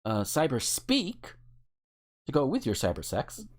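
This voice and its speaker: Casual, sweary podcast host, mid-range pitch, very lively intonation, halting read of lists with joking asides. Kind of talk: casual chat, reading out word lists.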